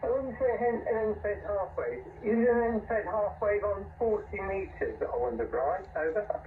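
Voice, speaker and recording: A man's voice: high-pitched, expressive, moderate pace. A man chatting casually as an amateur radio operator, his voice coming over a radio link. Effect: radio transmission. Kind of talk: casual radio contact chat. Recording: tinny, distant, faintly noisy radio link.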